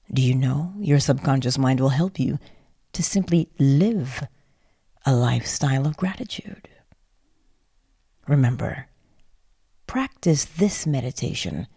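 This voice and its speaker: Very breathy, slow, low-voiced woman guiding meditation. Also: dry recording, studio quality. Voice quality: breathy, husky. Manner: soothing, hushed, breathy delivery with long pauses. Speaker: meditation guide. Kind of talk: guided sleep meditation.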